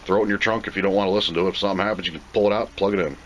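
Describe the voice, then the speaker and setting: A man's voice: deep voice, fairly even intonation, very fast. A man giving a casual, plain-spoken unboxing commentary, rambling and thinking aloud as he goes. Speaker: video host reviewing a product. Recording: clear background noise.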